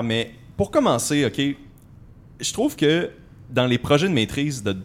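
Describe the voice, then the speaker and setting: Fast-talking young man hosting. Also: medium pitch. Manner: fast, playful, joking. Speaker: podcast host. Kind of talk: casual interview chat.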